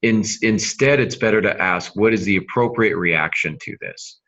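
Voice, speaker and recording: A man's voice: on the low side, moderate pace, fairly bright tone, lo-fi sound. A man co-hosting a writing podcast, explaining things in a casual way with hypothetical examples. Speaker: writing podcast co-host and novelist. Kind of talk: casual instructional discussion.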